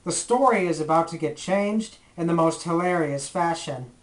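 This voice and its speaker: A man's voice: on the high side, moderate pace, noisy background. A man hosting a retrospective review, giving scripted commentary with playful, theatrical comic asides. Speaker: retrospective video host.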